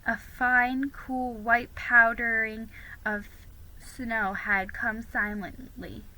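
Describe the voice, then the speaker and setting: A woman reads a storybook aloud with dramatic expression. Her voice is very bright and fairly high, and she delivers the narration at a slightly slower pace than most. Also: little pitch movement, rough recording. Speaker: amateur reader hosting dramatic readings.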